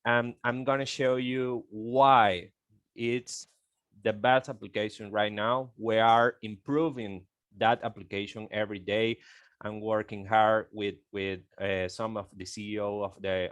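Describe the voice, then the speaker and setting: A Venezuelan man in his thirties, Spanish-native, talks slowly through a call presentation in a clear, smooth voice. The sound is dry and close. He halts, repeats words and keeps tagging "okay?". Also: fairly low pitch, no echo. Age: 30s. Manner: halting, repeats words, frequent "okay?" tags. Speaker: guest speaker on an online call.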